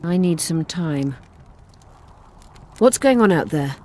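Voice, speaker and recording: An actress playing a self-assured, commanding sorceress in a video game, speaking briskly and formally. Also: female voice, on the low side, lively intonation, a little mellow. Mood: guarded. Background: game music.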